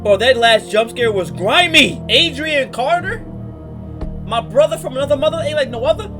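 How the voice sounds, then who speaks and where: A man hosting a reaction video with casual, slangy, excitable commentary. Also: very high-pitched, normal speed. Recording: loud music behind the voice. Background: loud music.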